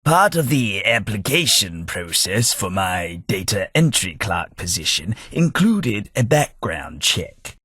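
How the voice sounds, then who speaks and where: Guy playing a posh gent, husky voice, takes his time, dry close mic. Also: on the low side, big pitch swings, sharp tone, no room sound, heavily acted. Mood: measured. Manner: formal, polite, measured diction. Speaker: game companion. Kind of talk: game voice acting.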